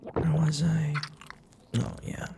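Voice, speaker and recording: A man playing a possessive vampire lover in an intimate ASMR roleplay, teasing and menacing, murmuring slowly with drawn-out words. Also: completely flat delivery. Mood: coaxing, possessive. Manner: slow, breathy murmurs, drawn-out words, low chuckles. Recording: long reverb, faint background noise.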